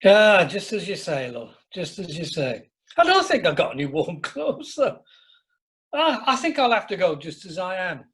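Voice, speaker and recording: A man's voice: sits high, wildly swooping pitch, normal speed, a bit bright, full character voice. A man playing an exasperated, henpecked middle-aged husband on a cruise in an amateur radio drama. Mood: resigned.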